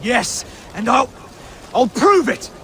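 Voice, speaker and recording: A man's voice: extremely high pitch, sing-song, slow pace, slight background noise, heavily acted. An actor playing a nervous, reluctant young Saxon who hesitates and stumbles over his words. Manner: hesitant, flustered. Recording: game cutscene with loud ambience.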